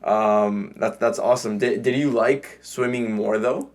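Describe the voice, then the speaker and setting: A man hosting a podcast interview, asking quick follow-up questions in an eager, upbeat way. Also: fairly low pitch, fairly even intonation. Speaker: podcast host. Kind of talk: casual interview.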